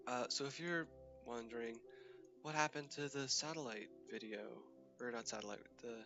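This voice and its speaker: A sniffly young man with a stuffy cold talks through his gameplay in a rambling, hesitant way, his voice sitting on the low side. He speaks into a desk mic at his computer, with game music playing clearly behind him. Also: highs cut off. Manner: rambling, hesitant, many fillers. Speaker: gaming channel host. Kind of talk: casual gameplay commentary.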